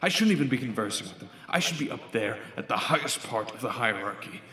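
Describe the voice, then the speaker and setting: A man's voice: mid-range voice, lively intonation, fast talker, sharp tone. A man acting an arrogant, ambitious doctor's scornful inner monologue in a radio drama. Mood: seething, contemptuous. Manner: haughty, scornful muttering to himself. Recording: roomy echo, music underneath.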